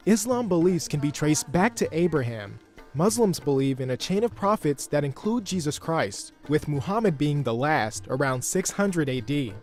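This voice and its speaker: Man narrating a news feature. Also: on the high side. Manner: measured, informative. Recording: voice-over with soft music underneath.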